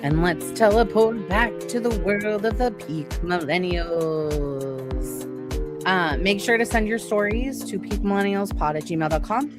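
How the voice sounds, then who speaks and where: A woman's voice: medium pitch, a little slow, over music. A woman co-hosting a podcast, chatting in a playful way. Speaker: podcast co-host. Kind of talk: casual podcast chat.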